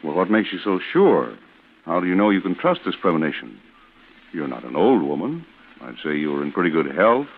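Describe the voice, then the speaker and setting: An actor playing a polite, probing small-town official with a hesitant, matter-of-fact way of questioning, in an old-time radio suspense drama. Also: male voice, low-pitched, sing-song, fairly quick. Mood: probing, puzzled. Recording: noisy, tinny vintage radio broadcast.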